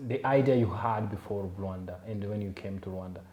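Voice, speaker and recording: A man's voice: lowish voice, brisk, mellow, dull audio. A man hosting a podcast interview in a casual, chatty way, often laughing and restarting his sentences. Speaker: podcast host. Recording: echoey room.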